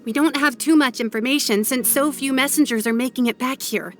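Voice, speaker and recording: A woman's voice: high voice, a little fast, slight background noise, lightly acted. A woman playing a composed, helpful battle messenger in a video game, giving a polite, orderly briefing. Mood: composed, businesslike.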